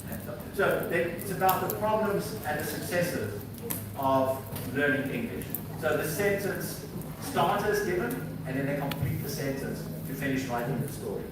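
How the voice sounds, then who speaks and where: Guy lecturing in a big echoey hall, kinda high voice, lots of ums, noisy far-off recording. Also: lively intonation, moderate pace. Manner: explaining slides, reads prompts aloud, many fillers. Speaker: visiting professor. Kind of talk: university lecture. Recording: very echoey hall, distant mic, background noise.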